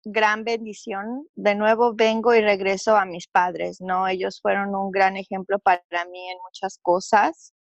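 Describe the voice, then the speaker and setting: A middle-aged woman with a Mexican Spanish accent speaks earnestly and reflectively, pausing on fillers and restarting her phrases. Her voice sits at an ordinary pitch for a woman. The recording is dry, with no room sound. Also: flat delivery, no echo, muffled recording. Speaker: interview guest. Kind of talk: conversational interview storytelling.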